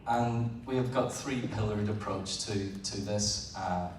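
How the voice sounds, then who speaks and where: A man with a Northern Irish accent giving a live presentation to an audience as an engineer, speaking plainly and a bit haltingly with frequent fillers. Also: on the low side. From Northern Ireland. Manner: plain, a little halting, with frequent "uh" and repeated words. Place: large event hall. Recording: roomy, distant event recording with background noise.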